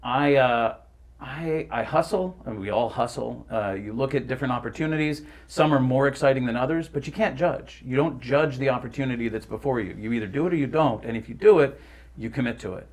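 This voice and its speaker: A male voice actor speaks as an interview guest. His pitch is medium, and his delivery is articulate and earnest in relaxed, casual conversation. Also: fairly quick.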